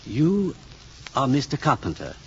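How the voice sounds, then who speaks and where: Curious professor, lively old radio sound. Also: male voice, mid-range voice, creaky, exaggerated voice. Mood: polite, puzzled. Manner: polite, deliberate, halting. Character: eminent male scientist, courteous, curious, hesitant professor. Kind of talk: 1950s radio drama acting. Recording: dull, hissy vintage radio recording from vinyl.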